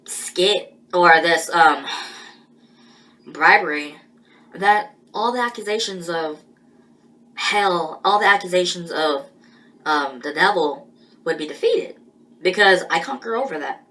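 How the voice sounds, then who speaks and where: Woman, personal monologue, halting and rambling, slow delivery, lower voice. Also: expressive, bright, forceful tone, low-quality recording. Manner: halting and rambling, with many pauses and restarts.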